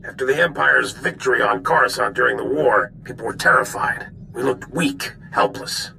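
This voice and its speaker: An actor playing a weary, grave older general who gives deliberate military briefings in a video game. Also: male voice, medium pitch, creaky, sharp tone, slight background noise, heavily acted, low-quality recording. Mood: grave regret. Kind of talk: dramatic video game dialogue.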